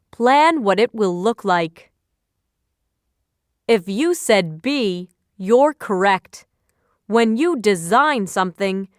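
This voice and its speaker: Lady doing a scripted vocab quiz, smooth crisp voice, super dry close sound, teacher style. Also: mid-range voice, dry recording. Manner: instructional, measured phrasing. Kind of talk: scripted educational quiz narration.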